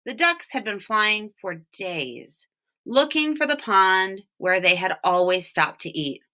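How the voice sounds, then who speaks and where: Woman, children's read-aloud, unhurried, very animated intonation, expressive emphasis. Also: medium pitch. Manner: deliberate, expressive emphasis on key words. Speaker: storybook reader, teacher reading for home learning.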